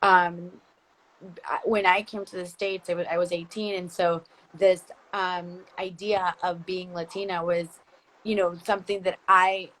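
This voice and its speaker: A woman from Costa Rica leads a casual livestream interview over a video call. Her voice sits a little low, and she talks in long, chatty, rambling turns full of restarts. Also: little pitch movement, unhurried, fairly bright tone, poor audio. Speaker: interviewer, company founder. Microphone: phone, video call.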